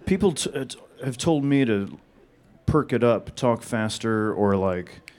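A man answering audience questions at a panel in a flat, deadpan monotone. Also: mid-range voice, unhurried, a faint crowd. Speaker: panel guest.

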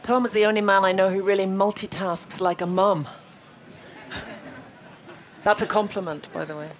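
A woman's voice: mid-range voice, steady tone, moderate pace. A woman interviewing a guest on stage, chatty and playful in her questions. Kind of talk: onstage interview.

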